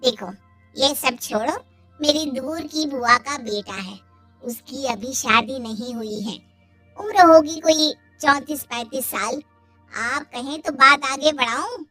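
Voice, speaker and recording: A woman's voice: sits high, slow, bright, forceful tone, soft background music, full character voice. A woman playing a meddling matchmaking auntie in a cartoon family drama. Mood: brisk, meddling. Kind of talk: Hindi cartoon dialogue.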